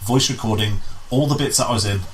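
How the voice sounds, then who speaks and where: A man's voice: fairly low pitch, fast, a little hiss or hum, low-quality recording. A man telling stories casually as an interview guest, restarting and repeating phrases as he explains. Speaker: amateur pantomime director, interview guest. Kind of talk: casual conversational storytelling.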